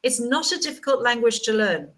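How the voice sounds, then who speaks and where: A woman's voice: a little high, lively intonation, a little fast, a bit bright. A woman chatting as an online English tutor, encouraging and chatty, often repeating words. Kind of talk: casual video-call chat.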